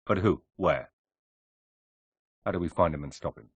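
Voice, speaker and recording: A British secret agent in a game cutscene. His voice is deep and closely recorded, with no room around it. He is composed and businesslike, delivering terse, dry lines with quiet determination. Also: moderate pace, slightly rough, no room sound. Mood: wary, dry.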